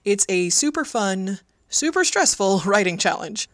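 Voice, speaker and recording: A woman's voice: medium pitch, very animated, unhurried, no echo, clean studio sound. A woman writer and producer giving a personal first-person account for a podcast.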